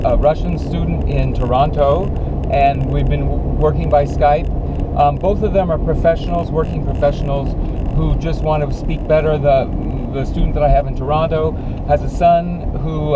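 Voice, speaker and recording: A middle-aged or older American man explaining his work as a speech coach in a conversational, measured way with frequent pauses. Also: mid-range voice, highs cut off, noisy background.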